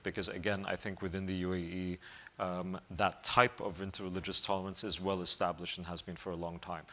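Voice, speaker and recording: Bright-voiced male panelist. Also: deep voice. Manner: measured, with fillers and restarts. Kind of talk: panel discussion.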